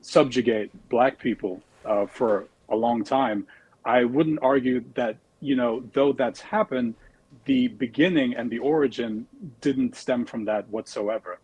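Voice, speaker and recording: A man's voice: medium pitch, unhurried, darkish tone, slightly rough, dry recording, rough recording. A young man from the United States, a debate guest who speaks politely and a bit hesitantly. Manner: polite, hesitant, uses fillers. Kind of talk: debate argument.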